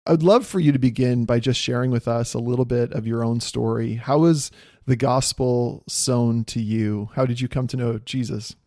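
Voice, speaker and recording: A man's voice: medium pitch, normal speed, mellow, no room sound. A man hosting a church podcast, delivering an upbeat, encouraging intro and leading an interview. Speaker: podcast host. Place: a home. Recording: dry close mic with faint music.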